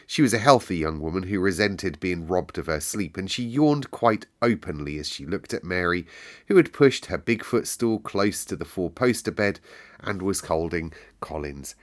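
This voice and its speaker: A man's voice: low voice, lively intonation, normal speed. A man narrating a storybook as host, with casual chatty asides to listeners. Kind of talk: read-aloud storybook narration and casual chat.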